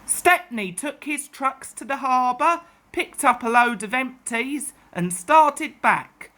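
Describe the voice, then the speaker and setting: Woman, children's storyteller, highish voice, very bright, measured pace. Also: expressive. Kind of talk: expressive children's story narration, read aloud.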